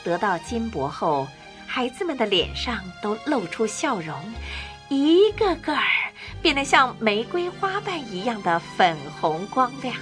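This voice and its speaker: Expressive woman narrating a storybook. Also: fairly high pitch, unhurried. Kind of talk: scripted children's storybook narration. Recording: clear music bed. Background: music.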